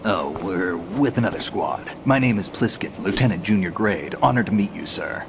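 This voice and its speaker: Low-voiced, cool seasoned soldier. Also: male voice, lively intonation, normal speed, creaky, noisy background. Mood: cool, assured. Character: cool, seasoned soldier, dry and self-assured. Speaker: navy lieutenant. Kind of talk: video game voice acting.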